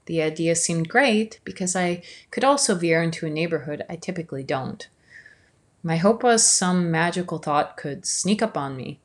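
Thoughtful woman, low-toned reflective narration. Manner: measured, thoughtful, some words drawn out slowly. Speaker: video essayist.

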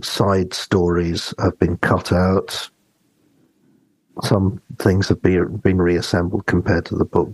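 A man's voice: deep voice, darkish tone, husky, no echo, low-quality recording. A man co-hosting a podcast, chatting casually in a reflective, hesitant way and giving his opinions. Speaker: podcast co-host. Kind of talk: casual chat about books and drama.

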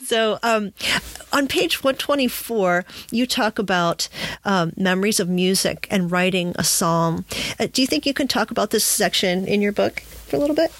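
A woman's voice: medium pitch, animated, normal speed, no echo. A woman talking conversationally as an interview host, thinking aloud and often rephrasing herself as she goes. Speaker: podcast host and spiritual director.

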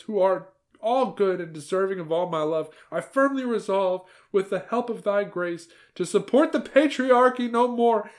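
Man puts on an elderly grandma, way up in falsetto, fervent prayer delivery. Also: natural voice. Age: older.

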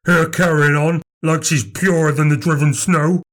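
A man voicing a sullen, spiteful boy in an audiobook, with a gravelly, grumbly, contemptuous snarl. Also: fairly low pitch, lively intonation, normal speed. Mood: furious. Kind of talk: audiobook character voice.

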